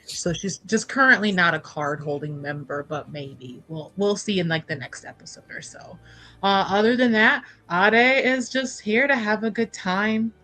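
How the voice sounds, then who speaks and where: A woman's voice: mid-range voice, moderate pace, pushed and bright. A woman chatting casually about her tabletop character in a breezy, joking way. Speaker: player on an actual-play tabletop show.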